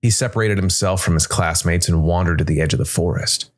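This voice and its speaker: A man narrating a scripted dark fantasy story in a measured, deliberate way. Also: low voice. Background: music.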